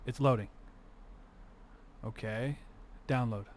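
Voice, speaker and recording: A man's voice: mid-range voice, slow, a little mellow, slight background noise. A young man with a congested, sniffly voice, chatting casually on a livestream, swearing freely and muttering to himself as he works on a music remix. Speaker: streamer making a music remix.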